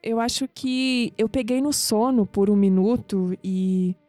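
A woman's voice: mid-range voice, measured pace, mellow, no room sound, natural voice. An actress playing a curious young Brazilian woman in an audio drama. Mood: curious, intrigued. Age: young adult. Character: curious young woman uncovering family secrets, sceptical.

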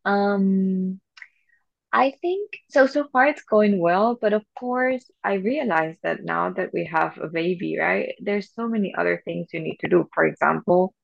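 A woman from Venezuela in her thirties chats casually on a podcast, with a gravelly, fry-tinged voice. She rambles with fillers and restarts, recorded dry and a little dull. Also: medium pitch, measured pace, no echo. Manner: chatty, many fillers and restarts. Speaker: podcast co-host. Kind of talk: casual chat.

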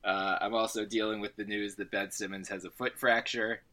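A man's voice: on the low side, level tone, normal speed, pushed and bright. A man hosting a basketball podcast, joking and laughing, getting over a cold and a little froggy. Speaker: podcast host. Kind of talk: casual sports podcast chat.

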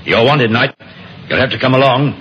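A man's voice: mid-range voice, normal speed, lo-fi sound, a little hiss or hum, natural voice. An actor playing a firm, businesslike policeman in a radio drama, formal and official, on an old recording. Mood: stern. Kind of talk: 1950s radio drama acting.

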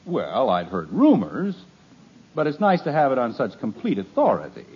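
A patient, dry-witted middle-aged father in a 1950s radio sitcom, his lines sliding up and down with lots of expression. The voice is a bit husky, and the old radio transcription sounds dull. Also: mid-range voice, wildly swooping pitch, moderate pace, full character voice. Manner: dry, weary sarcasm.

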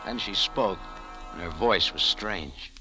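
An actor playing a cynical, world-weary bar owner in a 1940s radio drama, terse, sardonic and slangy. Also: male voice, low voice, slow pace, poor audio. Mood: sardonic, tense. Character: hard-boiled cynical bar owner, weary noir adventurer. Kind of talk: 1940s radio detective acting and narration. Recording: old radio recording with music.

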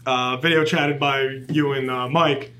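A man's voice: mid-range voice, unhurried. A man in his late twenties, a police officer guest, chatting casually on a podcast and acting out quotes as he tells stories. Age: 20s. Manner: animated storyteller, acts out quotes.

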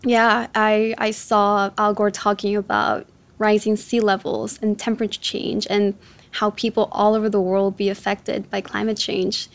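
Female interview guest, twenties, average pitch, conversational personal storytelling, grew up in Chicago. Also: level tone, unhurried. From born in Ecuador, raised in Chicago from age seven. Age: 20s. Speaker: interview guest, ocean activist.

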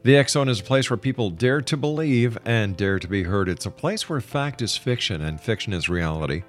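A man's voice: on the low side, animated, a little fast, soft background music. A man hosting a radio interview show with a polished announcer's delivery. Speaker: radio host.

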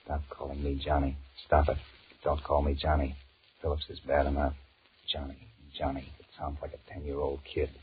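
An actor playing a weary, sullen two-timing American office man in a 1940s radio drama, musing in a deadpan mutter. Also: extremely low pitch, moderate pace. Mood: brooding, furtive. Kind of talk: 1940s radio drama acting, inner monologue. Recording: muffled, noisy 1940s radio recording.

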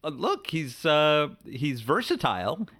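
A man's voice: a little high, sing-song, unhurried, fairly bright tone, no room sound, studio quality. A man co-hosting a podcast, trading casual, wry political banter with joking commentary. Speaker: podcast co-host.

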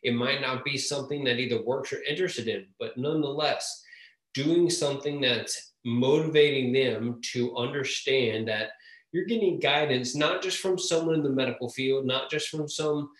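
A man's voice: medium pitch, moderate pace, fairly bright tone, roomy sound, poor audio. A man, a guest in a casual conversation, explaining his points steadily.

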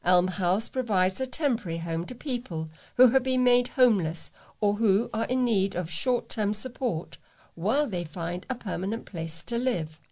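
A woman reads local news aloud as a volunteer reader. Her pitch sits in the middle range for a woman, and she delivers written items carefully. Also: normal speed, gravelly, darkish tone. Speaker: volunteer newsreader.

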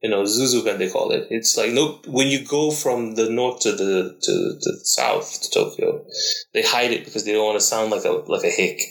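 A man's voice: fairly low pitch, sing-song, normal speed, gravelly. A man in casual conversation on a podcast, explaining things at length with many fillers. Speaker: podcast co-host. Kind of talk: casual chat. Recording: echoey room.

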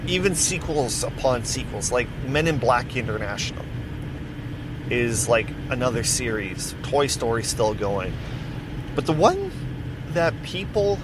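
A man hosting a casual solo podcast, rambling and correcting himself as he chats. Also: medium pitch. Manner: rambling, self-correcting, chatty. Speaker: podcast host. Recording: steady background noise.

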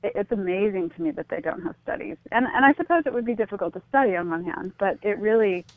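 A woman's voice: mid-range voice, animated, fast, no echo. A woman, speaking reflectively and tentatively as an interview guest over a phone-like line. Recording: thin, phone-like call-in line.

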